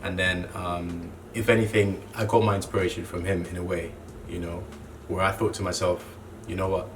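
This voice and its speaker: Low-voiced Zambian-raised man, flat delivery. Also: moderate pace. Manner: relaxed, with frequent "you know" fillers. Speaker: clothing brand co-founder. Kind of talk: casual interview monologue. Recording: distant mic with clear background noise.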